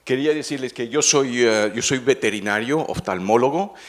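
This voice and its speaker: A man's voice: medium pitch, normal speed. A man with a slightly French-tinged Spanish accent giving a conference lecture, hesitant, with many fillers. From Colombian father, French mother. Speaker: veterinary ophthalmologist speaker.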